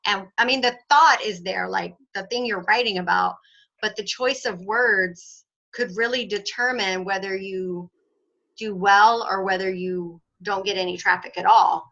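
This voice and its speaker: Bright-voiced woman on a video call. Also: mid-range voice, fairly even intonation, a little slow, lo-fi sound. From North Texas. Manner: chatty, laughs often, explanatory. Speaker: host of membership call. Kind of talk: casual group video chat.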